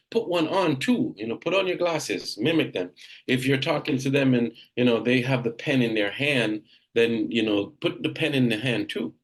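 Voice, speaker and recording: A man from Jamaica runs a group coaching session for real estate agents. He is direct and emphatic and often repeats a point twice. His voice sits at an ordinary middle pitch.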